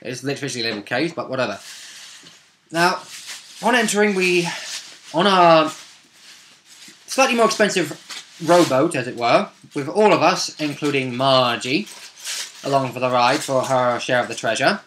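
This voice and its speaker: A man's voice: medium pitch, animated, moderate pace, long pauses, fairly bright tone, slight background noise. A man casually recounting a tabletop game session, rambling along with wry, informal asides.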